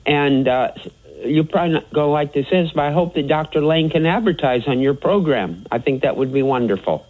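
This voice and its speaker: A man calling in to a radio show, chatting casually in a hesitant, halting way with a husky voice. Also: on the high side, animated, no room sound, narrow, tinny audio. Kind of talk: casual call-in chat.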